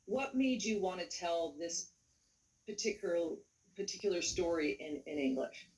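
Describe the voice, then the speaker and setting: A woman's voice: lowish voice, animated, moderate pace. A woman moderating a panel Q&A, introducing guests and asking questions, affirming with frequent mm-hmms. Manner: warm affirming listener, frequent mm-hmm. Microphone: video call. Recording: distant video call audio.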